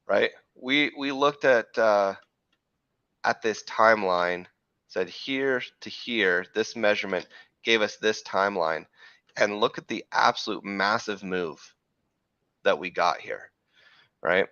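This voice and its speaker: Man, slightly hoarse, lowish voice, rambling trading talk, self-correcting, dry close sound. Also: slow pace, sharp tone, no room sound, lo-fi sound. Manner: rambling, self-correcting, frequent "right?" checks. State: slightly hoarse, losing his voice. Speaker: crypto trading show host. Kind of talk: live chart analysis talk.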